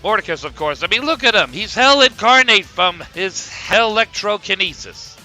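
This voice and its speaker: An excitable male wrestling commentator, acted out by a man, brash and theatrical. His voice is forceful and bright, swinging widely in pitch as he hams up the match commentary with music playing behind him. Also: moderate pace, full character voice. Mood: fired up. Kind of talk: hammy sports commentary.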